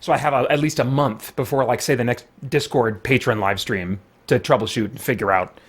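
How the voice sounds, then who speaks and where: A man's voice: medium pitch, brisk, slightly rough. A man hosting a live stream with casual, rambling, self-deprecating chat.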